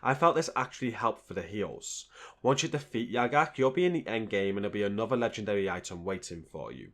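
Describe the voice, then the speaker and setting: A man's voice: fairly low pitch, lively intonation, fast, fairly bright tone. A man giving a scripted narration as the presenter of a video game guide.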